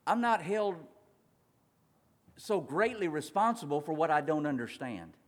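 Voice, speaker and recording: A man preaching in a church, his fairly high voice ringing with a long hall reverb. He swings from loud urgent passages to low, slow, quiet ones, stretching words out for weight. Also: sing-song. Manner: dramatic swings between loud and quiet, slowing for emphasis. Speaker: pastor. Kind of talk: sermon.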